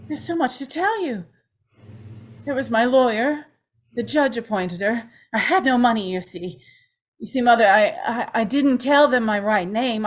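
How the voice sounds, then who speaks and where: A tormented young woman condemned to die, with a high voice that swings widely. She speaks slowly and haltingly, repeating words in anguish, in a radio-play style. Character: tormented young condemned woman, haunted and fragile, confessing to Mother. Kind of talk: old-time radio drama acting.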